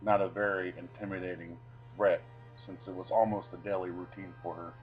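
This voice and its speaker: Low male voice, theatrical storyteller, deliberate delivery, dull lo-fi recording, guitar music behind. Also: flat delivery. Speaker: video creator reading own short stories. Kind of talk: dramatic reading of a comic short story.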